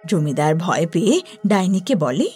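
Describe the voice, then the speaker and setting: A woman narrating a scripted horror story in an expressive storytelling manner. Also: fairly low pitch, moderate pace, slightly rough, no echo, soft background music.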